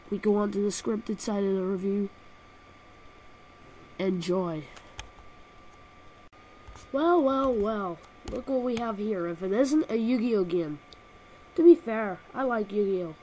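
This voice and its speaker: A woman's voice: medium pitch, a little mellow, a little hiss or hum, low-quality recording. A woman with a cold and a nasal voice, reviewing a video game with sarcastic, exaggerated comic rants, recorded on a built-in laptop microphone. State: has a cold.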